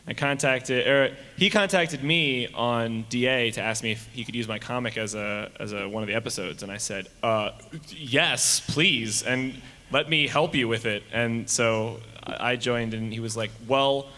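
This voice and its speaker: A man's voice: medium pitch, moderate pace, bright, forceful tone. A man telling anecdotes casually as a panelist at a fan convention. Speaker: convention panelist, fan audio drama creator. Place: large hall at a fan convention. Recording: echoey noisy hall, PA sound.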